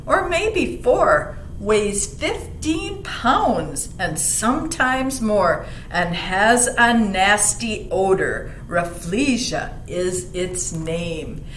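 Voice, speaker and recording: Female library story reader for kids, slow explanatory delivery, mid pitch, echoey recording with slight rumble. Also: a bit bright. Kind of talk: read-aloud children's lesson with explanations. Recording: roomy echo, faint rumbling background noise.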